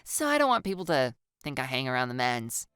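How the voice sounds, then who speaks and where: Playful, excitable young woman, played by a woman narrator: teasing, theatrical, lively and crisp, for audiobook dialogue. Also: extremely low pitch, brisk, soft background music, exaggerated voice. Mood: cheeky, coaxing.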